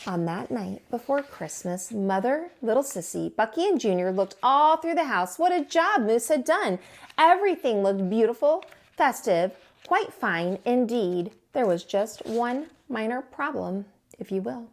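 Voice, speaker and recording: Female storytime reader, unhurried and slightly high-voiced, teacherly, expressive, leaning theatrically on key words. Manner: theatrical, drawn-out emphasis on words. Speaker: teacher reading a picture book aloud. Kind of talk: expressive children's storytime read-aloud.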